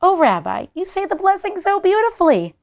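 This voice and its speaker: A woman's voice: very high voice, very animated, fairly quick, darkish tone, voice pushed up, exaggerated voice. Lady doing a sweet old grandma for a kids story, chatty, fussy, lots of exclaiming. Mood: pleased, gentle. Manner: fussing, exclamatory. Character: kindly, chatty elderly grandmother, fondly hospitable. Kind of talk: children's storybook character voice.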